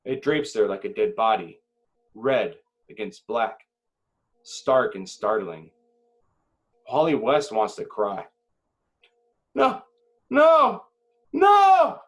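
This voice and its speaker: A man reading screenplay directions as dramatic narration at a table read, with deliberate phrasing and short pauses. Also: fairly low pitch, expressive.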